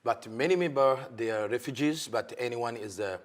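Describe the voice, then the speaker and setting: Earnest pastor, halting English. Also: male voice, mid-range voice. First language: not English. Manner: earnest, halting learner's English with repeated affirmations. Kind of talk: TV interview guest.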